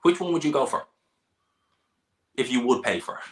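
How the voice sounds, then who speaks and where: A man's voice: mid-range voice, very animated, normal speed. A man hosting a live boxing chat stream, joking and bantering with a guest. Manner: jokey, banter-heavy, laughs often. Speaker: boxing channel host.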